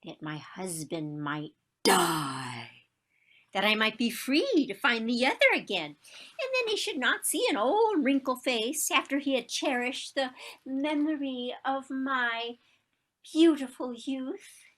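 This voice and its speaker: Actress as a slow, wistful old romantic lady. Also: high voice, animated, fairly bright tone, exaggerated voice. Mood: wistful, confessional. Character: wistful, romantic elderly woman who walks with a cane. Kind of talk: one-act stage play performed on a video call.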